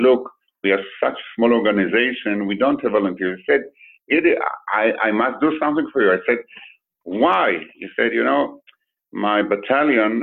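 A man's voice: on the low side, creaky. A man who is not an English speaker, telling stories as an interview guest with many fillers and restarts. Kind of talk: conversational storytelling. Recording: phone-like narrow sound.

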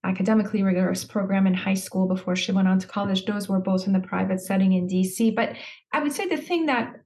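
A woman's voice: medium pitch, fast talker, a little mellow. A middle-aged woman from Iran, an education nonprofit leader, answering interview questions earnestly and articulately, with fillers.

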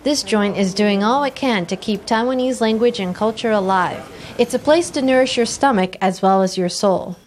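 A woman narrating a news feature as a reporter in a clear, steady voice-over. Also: mid-range voice, normal speed.

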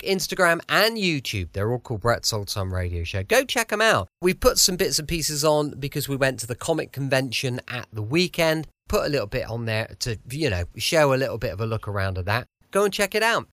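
A man's voice: mid-range voice, sharp tone, dry recording, studio quality. A man with an English accent hosting a late-night podcast in a chatty, effusive, rambling way. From United Kingdom. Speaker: podcast host. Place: close dry home recording.

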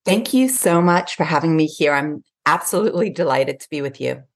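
A woman's voice: deep voice, animated, brisk. A woman raised in Switzerland and England, an effusive podcast guest talking about her life. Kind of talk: podcast interview.

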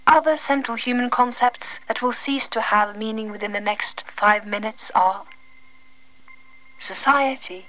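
Formal, high-pitched superintelligent AI. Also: female voice, unhurried, low-quality recording. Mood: detached, superior. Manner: formal, stilted, deadpan. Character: superintelligent AI, female, condescending, calmly menacing, detached. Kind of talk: audio drama monologue, dictated letter.

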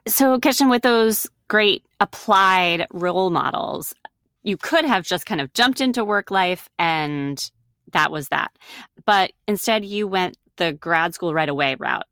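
A woman's voice: mid-range voice, expressive, a little slow, a bit bright, no room sound, clean studio sound. A woman interviewing a guest, asking friendly, probing questions.

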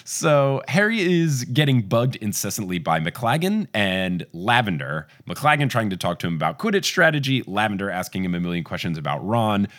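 A young man hosting a casual podcast, his pitch swinging widely as he recaps and jokes. He laughs easily and slips into quick voices when he quotes characters. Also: very animated, fairly bright tone. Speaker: podcast host. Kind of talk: casual chat recapping a book.

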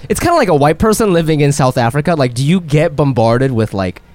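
A man's voice: fairly high pitch, animated, fast talker, darkish tone. Young male podcast co-host, hesitant. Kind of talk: casual chat.

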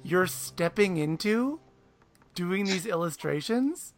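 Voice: mid-range voice, animated, slow, soft background music. A podcast host chatting casually and laughing while asking questions. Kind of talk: casual interview chat.

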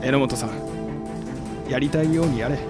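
An actor playing a bold, blunt, battle-hardened samurai commander in a Japanese period voice drama, with rough-edged masculine speech. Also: male voice, medium pitch, normal speed, loud music behind the voice, lightly acted. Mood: earnest, resolute.

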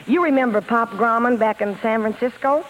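A self-assured vaudeville headliner acted by a woman from a 1950s radio play. She talks in a high voice, breezy, slangy and a little bossy. The aged broadcast has a faint crackle. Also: moderate pace, fairly bright tone, close to an everyday voice. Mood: eager. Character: brash, confident female vaudeville singing star. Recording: noisy old radio broadcast.

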